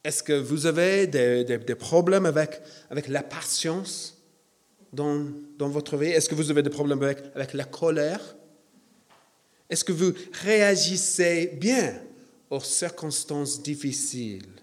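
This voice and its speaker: A man delivers a sermon in a church. He speaks slowly in a slightly raised voice, with many hesitant fillers. He also jokes about himself. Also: a little high. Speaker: preacher.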